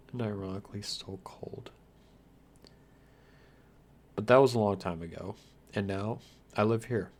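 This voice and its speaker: Guy doing a lonely wry vampire for asmr roleplay, lowish voice, bit of noise in the recording, guarded and kinda theatrical. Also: close to an everyday voice. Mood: wistful. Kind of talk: ASMR roleplay monologue to the listener.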